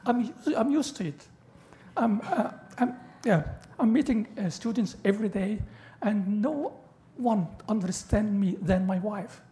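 A middle-aged man whose first language is Arabic, giving an academic lecture at a panel table in a large lecture hall, halting with fillers and restarts and adding joking asides. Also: high-pitched, expressive, warm tone. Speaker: guest lecturer. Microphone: table microphone.

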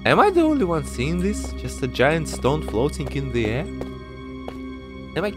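A man hosting a gaming video with excited, exclamatory commentary, stammering and peppering his talk with slang. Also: fairly high pitch, moderate pace, over music.

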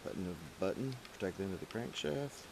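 A man's voice: low voice, expressive, fast, creaky. A middle-aged man giving casual, practical how-to instructions in short pieces while he works with his hands in a garage workshop, picked up by a camera mic. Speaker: home mechanic demonstrating an engine rebuild. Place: large metal-walled garage workshop indoors. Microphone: camera mic a step or two away. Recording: noisy workshop recording, clicking tool sounds.

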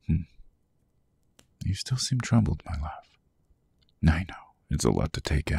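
A man playing a suave, possessive vampire lord in a hypnotic ASMR roleplay, hushed and often whispering, with courtly old-fashioned diction. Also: heavily acted. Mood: tender, coaxing. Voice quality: very deep, gravelly, husky.

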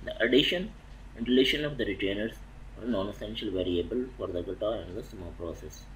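A man narrating a technical lecture over slides, methodical and halting, restarting phrases mid-sentence. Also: on the low side, fairly bright tone, slight background noise, low-quality recording. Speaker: welding inspection instructor.

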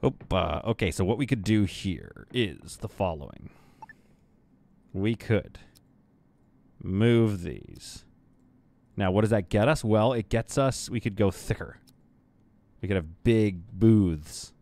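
A Canadian man in his thirties, streaming a game from a home green-screen setup, joking with chat and talking on a boom mic. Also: takes it slowly, gravelly, long pauses. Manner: playful, jokey, lively, swings between loud bursts and quiet asides. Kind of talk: casual livestream chat while building in a game. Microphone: studio mic on a boom arm.

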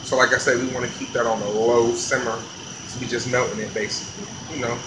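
A man, a chef filming a casual vlog in a commercial kitchen, talking in relaxed slang. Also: medium pitch, fast, noisy background. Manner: laid-back slangy hype talk. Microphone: handheld phone camera.

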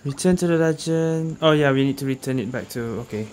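A man giving casual, low-key, hesitant let's-play commentary as a game streamer. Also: fast talker, a little mellow, slight background noise.

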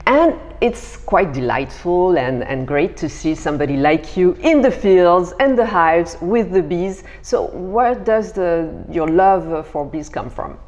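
Low-voiced woman interviewer. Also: lively intonation, unhurried, few pauses, darkish tone, dull audio. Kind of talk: conversational interview questions. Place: bright indoor living room.

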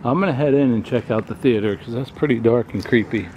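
A man's voice: mid-range voice, quick pace, highs cut off, a little hiss or hum. A man, a vlogger, casually narrating as he explores abandoned buildings, speaking to the camera. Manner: relaxed, talks to camera while walking.

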